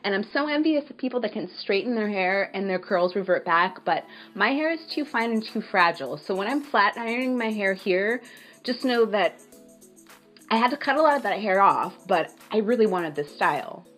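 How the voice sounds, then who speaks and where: A woman giving casual voice-over narration for a hair tutorial, sounding slightly raspy and tired from a cold, explaining in a relaxed, chatty way. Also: medium pitch, normal speed. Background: background music.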